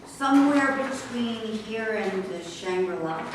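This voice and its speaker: A woman's voice: a little high, animated, slow. Echoey, defiant woman horse trainer, acted by a woman. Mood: sarcastic. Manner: combative, sarcastic. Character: defiant, sharp-tongued female racehorse trainer. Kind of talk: amateur staged reading. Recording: echoey room, distant mic, faint noise.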